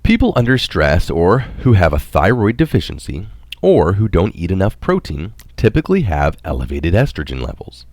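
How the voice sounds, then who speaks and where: A man narrating a scientific article aloud in a deliberate, explanatory manner. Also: low-pitched, huge pitch swings, a little mellow, no echo, clean studio sound. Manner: deliberate, marks quotations aloud.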